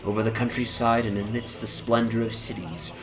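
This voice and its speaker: A man narrating literary fantasy as a dramatic audiobook reading, in a measured, solemn and theatrical manner. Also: fairly low pitch, steady tone. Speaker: narrator, reader. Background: atmospheric music.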